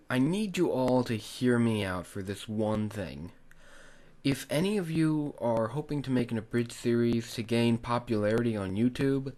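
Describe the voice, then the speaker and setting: A man narrating a scripted tutorial, speaking in an earnest, instructive way straight to the viewers. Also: medium pitch. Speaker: tutorial host, hobby video creator.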